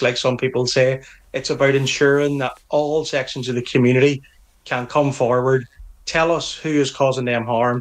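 A middle-aged man with a Northern Irish accent, answering interview questions firmly and earnestly, often restarting his phrases. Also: medium pitch. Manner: earnest, firm, with frequent restarts. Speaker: police association representative.

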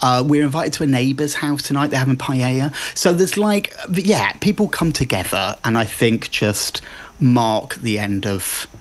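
A man's voice: medium pitch, animated, normal speed. Chatty enthusiastic male radio guest. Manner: chatty, enthusiastic, many fillers. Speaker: broadcaster and podcaster guest. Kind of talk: cultural recommendations chat.

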